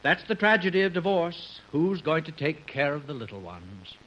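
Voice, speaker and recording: Officious earnest office man, high bright voice, emphatic, old radio comedy, muffled with hum. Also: very animated, normal speed. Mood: mock-solemn. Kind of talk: 1950s radio comedy acting.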